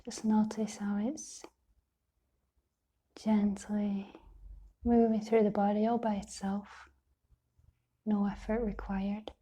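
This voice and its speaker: A woman guides a meditation in a very dark, mellow voice, soft and half-whispered. Her delivery is slow and nearly flat in pitch, with gentle, soothing phrases set apart by long pauses. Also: husky. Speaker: meditation teacher.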